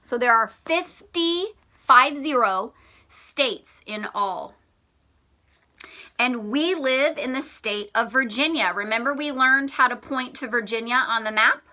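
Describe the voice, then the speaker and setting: A woman teacher reading a picture book aloud to children in a deliberate, expressive way, pausing to explain and ask questions. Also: fairly high pitch. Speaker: elementary school teacher.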